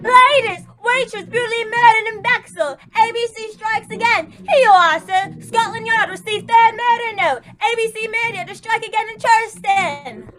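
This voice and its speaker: An extremely high, piercing news hawker cries out in excited, declamatory bursts. It is old-time radio acting, with faint music behind. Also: female voice, normal speed, hardly any pauses. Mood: urgent, excited. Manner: loud declamatory hawking. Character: shrill, excitable street newspaper seller crying headlines.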